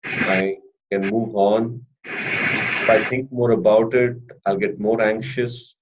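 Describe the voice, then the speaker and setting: A man giving a testimonial as a therapy client, speaking deliberately, with a mild stutter of repeated words and brief blocks. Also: on the low side, steady tone. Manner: measured, deliberate delivery. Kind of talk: interview answers. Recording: dull lo-fi recording with faint hiss.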